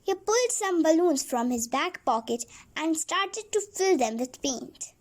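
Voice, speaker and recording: A woman reading a children's storybook aloud as a read-along, haltingly, repeating and correcting words as she goes. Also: sits high, animated.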